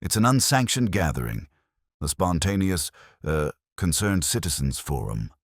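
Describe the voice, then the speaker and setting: A man's voice: low voice, very animated, normal speed, no echo, studio quality, heavily acted. A man voicing a flustered town alderman who is hesitant and tries to soothe. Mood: flustered, placating.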